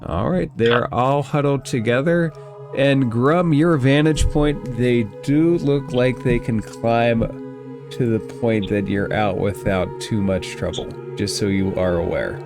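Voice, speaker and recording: Unhurried man running a tabletop game. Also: medium pitch, a little mellow. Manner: thinks rulings through aloud. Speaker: game master. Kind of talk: narrating and refereeing a tabletop game. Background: background music.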